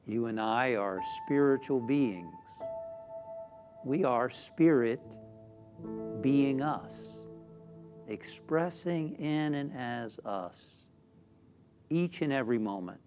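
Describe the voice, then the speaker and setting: Slow-paced man guiding a meditation over music. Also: mid-range voice, lively intonation. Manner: soothing, deliberate, with frequent pauses. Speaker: minister leading a guided meditation. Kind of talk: guided meditation, spiritual talk. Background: background music.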